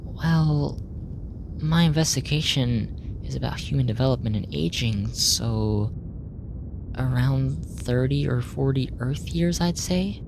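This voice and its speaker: A woman acting in a sci-fi ASMR roleplay as a polite, clinical alien researcher, speaking in a measured, formal, earnest way. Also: a bass voice, gravelly, background noise. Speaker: audio roleplay voice actor.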